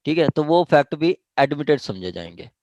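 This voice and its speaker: A man's voice: on the high side, big pitch swings, normal speed, darkish tone, dry recording, highs cut off. A man with a Pakistani accent lecturing on statute law in a didactic way, checking in with listeners as he explains. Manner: didactic, punctuates points with check-in questions.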